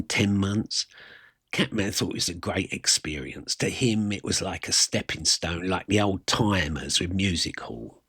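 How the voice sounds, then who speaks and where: Deep husky man telling a story. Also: a bit bright, dry recording, clean studio sound. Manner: wry, colloquial, self-deprecating. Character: wry working-class South London man reminiscing about his youth. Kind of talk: dramatic first-person storytelling monologue.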